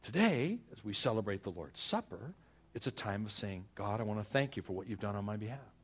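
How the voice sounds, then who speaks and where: A male pastor with a husky, fairly low voice delivers a sermon. He is earnest and exhorting, leaning on repeated phrases and dramatic pauses as he moves between personal stories and prayer. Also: big pitch swings.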